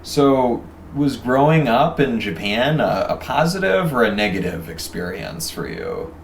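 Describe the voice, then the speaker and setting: A man's voice: mid-range voice, sing-song, a little slow, gravelly. A man hosting a casual interview in an encouraging manner, reacting with interest to the answers. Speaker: interviewer, host. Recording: roomy, distant mic, ambient noise.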